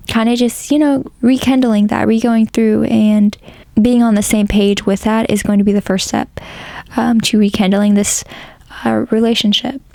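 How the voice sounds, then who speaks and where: A woman with a hoarse, crackly voice narrating a pick-a-card tarot reading in a casual, rambling way, with frequent ums and likes. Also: mid-range voice, warm tone, no echo. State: hoarse, losing her voice. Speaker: tarot reader.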